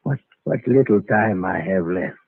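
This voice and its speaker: An actor playing a weak, remorseful old grandfather in a vintage radio drama, speaking haltingly in a frail voice. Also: low-pitched, dry recording, heavily acted. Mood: regretful, frail. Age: older. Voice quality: frail, husky voice. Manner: halting, faltering, repeats words. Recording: muffled, tinny vintage radio recording.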